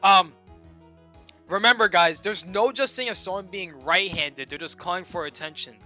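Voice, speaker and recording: A young man streaming a video game, joking and excitable, swinging between loud outbursts and low muttering. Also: moderate pace, soft background music. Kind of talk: casual gameplay commentary.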